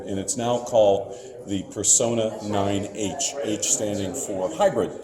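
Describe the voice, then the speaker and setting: Low-voiced man demonstrating products in a crowd. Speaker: loudspeaker company representative presenting a product line. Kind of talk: unscripted product demonstration at a trade show. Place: indoor trade show floor. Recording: busy crowd noise around the voice. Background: crowd chatter.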